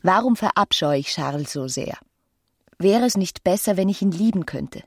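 Radio drama young wife: passionate, discontented, emotionally charged. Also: female voice, lowish voice, expressive, normal speed, breathy edge, dry recording, studio quality. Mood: bitter, brooding. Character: discontented, passionate young married woman, irritable and longing. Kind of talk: German radio play acting.

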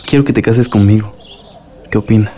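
An actor playing a devoted, reassuring young village suitor in a radio drama, tender and attentive. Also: male voice, fairly low pitch, steady tone, brisk, warm tone, a little hiss or hum, lightly acted. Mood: tender, concerned.

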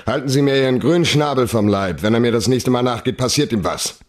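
An actor playing a tough, curt private detective in a radio crime drama, blunt and impatient, with a husky voice. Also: male voice, medium pitch, fast talker, natural voice. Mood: impatient, threatening.

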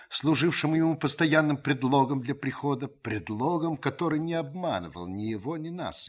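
An actor playing a reflective, observant French uncle who narrates his wartime memories in a radio drama, in a measured, literary way. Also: medium pitch, slightly rough.

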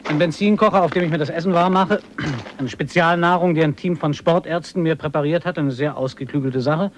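A man acting a proud, earnest and excitable polar explorer in a radio drama, thinking aloud expressively as if interviewed. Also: on the high side, a little hiss or hum, natural voice. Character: excitable male polar adventurer, proud, earnest, devoted to his dog.

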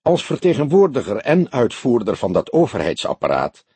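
A man voicing a wry old police detective in an audiobook, deliberate, needling and mock-innocent. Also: mid-range voice, wildly swooping pitch, a little mellow, husky, dry recording, exaggerated voice. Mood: pointed, sinister. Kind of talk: Dutch audiobook character dialogue.

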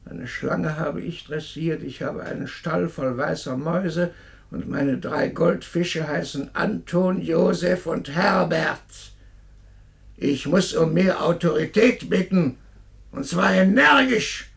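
An actor playing an excitable, pompous and guilt-ridden man in a radio drama, stammering and repeating himself theatrically. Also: a little high, slow pace, creaky, husky, heavily acted. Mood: proud. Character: excitable, guilt-ridden, pompous male anatomy preparator given to melodrama.

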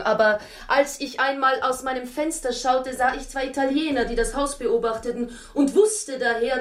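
An actress in a German radio drama playing an anguished wife who tells her story in a dramatic, halting way. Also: a little high, lightly acted. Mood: urgent, fearful. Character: anguished Italian wife recounting a murderous secret society's threat.

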